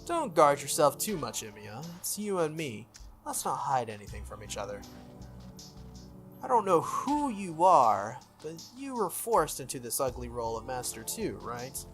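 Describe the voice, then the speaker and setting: Smug visual novel schoolboy played by a man, breezy and needling, very animated pitch, music faintly behind. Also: moderate pace. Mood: sly. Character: smug, falsely friendly teenage schoolboy schemer.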